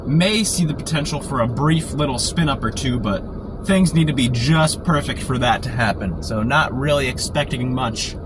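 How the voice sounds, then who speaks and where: A man with a sore throat from a cold, keeping his voice quiet and low while chatting casually on a live stream from inside a parked car. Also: moderate pace. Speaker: storm chaser live-streaming. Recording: noisy car interior. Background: car and outdoor traffic noise.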